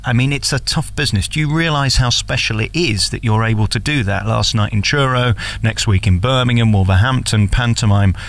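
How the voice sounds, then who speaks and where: Slightly low-voiced male interviewer. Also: gravelly. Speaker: interviewer and radio host. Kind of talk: interview questions and commentary.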